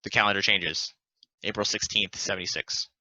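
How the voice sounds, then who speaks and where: A man's voice: on the low side, steady tone, moderate pace, pushed and bright, no room sound, low-quality recording. A man reading scripted stage directions as narrator in a play table read.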